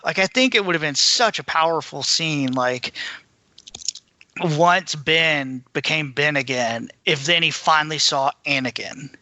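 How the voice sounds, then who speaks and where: A man's voice: a little high, unhurried, pushed and bright, no echo. A man co-hosting a podcast in casual chat, thinking aloud as he goes. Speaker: podcast co-host.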